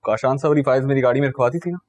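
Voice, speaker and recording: A man's voice: medium pitch, rapid-fire, a little mellow, natural voice. An actor playing a stern, demanding company boss, curt and authoritative, dropping English phrases into his speech. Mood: businesslike. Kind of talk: TV comedy drama acting.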